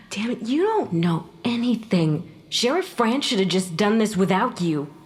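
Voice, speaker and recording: A woman's voice: medium pitch, very animated, unhurried. An actress playing the sardonic, indignant ghost of a murdered mother in an audio drama, with an impatient manner. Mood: bitter, indignant. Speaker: actor in fiction podcast.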